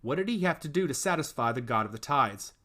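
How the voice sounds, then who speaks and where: A man's voice: medium pitch, animated, fast, sharp tone. A man voicing a worried, determined queen and mother in a fantasy story, speaking in an earnest, pressing way. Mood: insistent.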